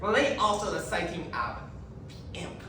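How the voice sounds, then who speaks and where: A woman's voice: on the low side, sing-song, moderate pace, pushed and bright. A woman acting an earnest, credulous Puritan husband who accuses others, in a declamatory stage style. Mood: earnest accusing. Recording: echoey hall from far off, faint noise.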